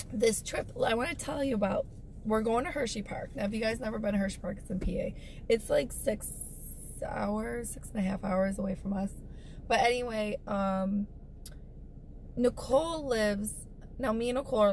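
A woman in her thirties with a hoarse, scratchy voice, hosting a chatty, frank vlog from inside her car. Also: medium pitch. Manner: frank, animated storytelling, repeats herself. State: hoarse, lost voice. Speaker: vlog host. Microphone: dash-mounted camera. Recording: distant mic with loud car noise.

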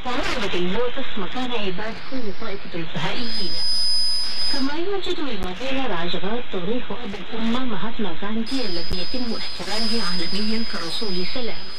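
A woman's voice: medium pitch, expressive, racing. A woman radio presenter reading a scripted informational narration. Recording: very noisy hissing shortwave reception.

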